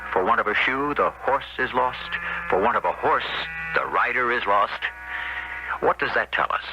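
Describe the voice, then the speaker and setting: A man hosting a radio mystery show with measured, wry, rhetorical scripted narration. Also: medium pitch, noisy background. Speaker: host. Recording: dull old radio broadcast with music.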